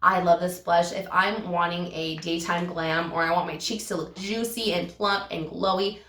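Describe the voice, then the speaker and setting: A hoarse woman with a cold-roughened, slightly raspy voice chats enthusiastically through a product review. She lingers on her favorite words. She sounds a little away from the mic, in a roomy, echoing space. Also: fairly low pitch, fairly even intonation, a bit bright, far from the mic. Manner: enthusiastic, gushing, slows down to stress favorite words. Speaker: beauty vlogger. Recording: echoey room, voice a bit off-mic.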